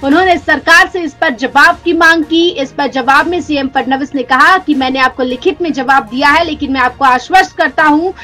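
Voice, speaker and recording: A woman's voice: high-pitched, moderate pace, few pauses, bright, forceful tone. A woman news presenter, delivering a scripted news narration.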